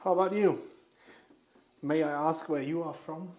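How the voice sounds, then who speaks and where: A man's voice: a little high, steady tone, moderate pace, mellow. A man from Denmark chatting casually and rambling, swearing now and then, as he cooks on a livestream in his home kitchen. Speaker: livestreamer cooking. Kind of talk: casual chat while cooking. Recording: echoey room with faint noise.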